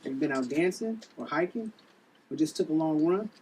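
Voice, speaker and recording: A man's voice: fairly high pitch, expressive, brisk, darkish tone, close to an everyday voice. A man playing a protective, flirtatious gym trainer in a table read, with casual slangy speech. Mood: teasing, flirty. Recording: noisy, distant room recording.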